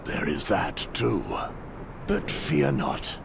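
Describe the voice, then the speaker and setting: An actor playing a taciturn, seasoned warrior of a fantasy watch in a video game, gravelly and dry. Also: male voice, fairly low pitch, big pitch swings, slow pace, noisy background, exaggerated voice. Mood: wry. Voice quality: gravelly, creaky. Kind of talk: video game cutscene acting.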